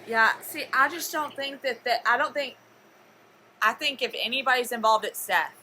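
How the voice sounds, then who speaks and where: A woman debating casually on a phone call, halting and often restarting her sentences. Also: on the high side, pushed and bright. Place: telephone call. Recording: noisy phone call recording.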